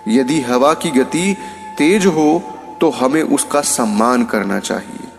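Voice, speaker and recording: A wise, humble bamboo tree from a moral storybook , acted by a male narrator. He speaks patiently and with measured care. His middle-pitched voice has a reverberant tail, with music behind. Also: creaky. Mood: humble, advising. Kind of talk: storybook character in a moral tale.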